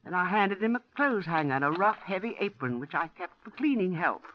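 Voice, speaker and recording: An actress playing an older landlady who narrates and scolds in a brisk, old-fashioned way, in 1940s radio acting on a lo-fi old broadcast. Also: lowish voice, expressive, a little hiss or hum, close to an everyday voice. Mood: narrating.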